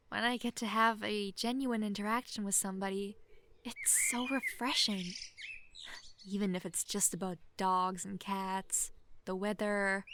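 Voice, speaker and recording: A young woman playing a sheltered, lonely princess who is playful, clingy and outspoken, in an expressive, chatty manner for an intimate roleplay audio drama. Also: medium pitch, lightly acted. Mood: wistful. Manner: expressive, chatty, swinging from giddy to wistful. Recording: faint background noise, hobbyist home microphone.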